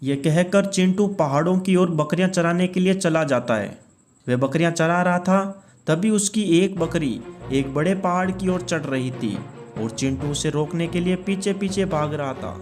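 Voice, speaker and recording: A man narrating a children's cartoon story in a steady storytelling manner. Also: on the high side, normal speed, soft background music.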